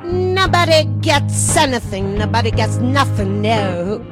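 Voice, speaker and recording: Female singer, high register, very drawn-out rock delivery for a stage show. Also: sing-song. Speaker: singer and reader in a theatre performance. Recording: loud guitar music behind the voice. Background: guitar.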